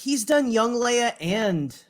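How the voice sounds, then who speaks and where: A man co-hosting a podcast, chatting casually in a rambling, halting way. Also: extremely high pitch, expressive, crowd noise. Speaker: podcast co-host. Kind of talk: casual chat.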